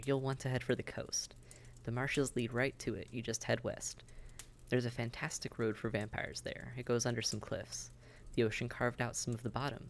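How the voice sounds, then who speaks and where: A man's voice: medium pitch, vocal fry, a little hiss or hum, natural voice. A man playing a friendly, worldly vampire innkeeper who chats and gives reassuring advice straight to the listener in a roleplay audio drama. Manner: chatty, reassuring, advising.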